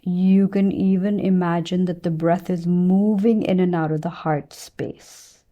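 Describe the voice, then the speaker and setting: A woman teacher leading a guided meditation, speaking in a gentle, soothing way with unhurried pauses between phrases. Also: lowish voice, steady tone.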